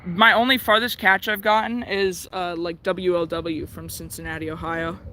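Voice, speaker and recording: An American man giving a casual hobbyist demonstration, thinking aloud in a halting way, outdoors. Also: sits high, little pitch movement, bright, forceful tone, a little hiss or hum. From United States. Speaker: radio hobbyist presenting. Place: outdoors in the sun.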